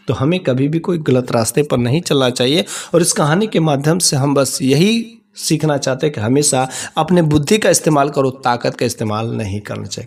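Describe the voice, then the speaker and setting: Guy with a high voice telling a village moral story, informal, repeats phrases.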